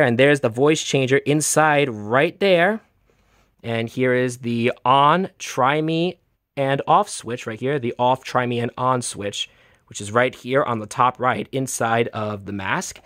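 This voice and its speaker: A man's voice: mid-range voice, fairly bright tone, dry recording, studio quality. A man giving a chatty show-and-tell product review, enthusiastic and fond of repeating emphatic phrases. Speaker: toy reviewer.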